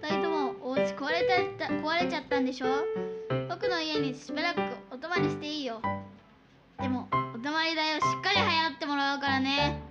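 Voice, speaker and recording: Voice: high-pitched, a little fast, muffled recording, over loud music, natural voice. A performer voicing a sensible, proud young pig boy, confident and matter-of-fact, in a children's radio drama. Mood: proud, pleased.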